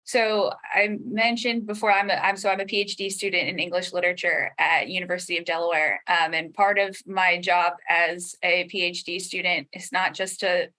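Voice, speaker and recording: A woman's voice: fairly low pitch, flat delivery, normal speed, hardly any pauses, sharp tone, poor audio. A young woman chatting casually as a panel guest, explaining her points like a teacher.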